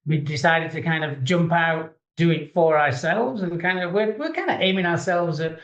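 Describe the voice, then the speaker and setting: Brief: middle-aged man from the UK, slightly high voice, muffled echoey sound. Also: normal speed. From United Kingdom. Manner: relaxed, hedging with 'kind of'. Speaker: guest. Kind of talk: casual interview.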